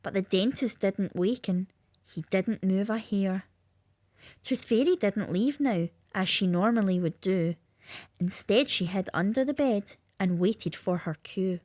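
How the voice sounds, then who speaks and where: A woman's voice: medium pitch, a little slow, darkish tone, no echo, clean studio sound. A woman with a lilting, sing-song delivery, reading a rhyming children's story aloud.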